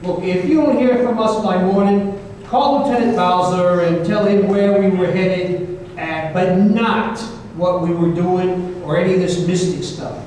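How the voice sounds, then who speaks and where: A man's voice: high voice, unhurried, darkish tone. A man playing a wisecracking detective, recounting his adventures in a wry, conversational old-time radio style. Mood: wry, urgent. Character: wisecracking male detective recounting a supernatural gunfight. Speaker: actor in a staged radio play. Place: live performance in a reverberant hall. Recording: very echoey hall, distant mic, faint noise.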